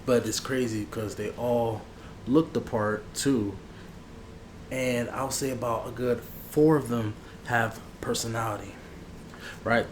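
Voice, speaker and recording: A man chatting casually in slang as an ASMR barber, rambling in a familiar way. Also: medium pitch. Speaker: ASMR barber roleplay creator. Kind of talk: ASMR roleplay with casual chat. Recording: clear background noise.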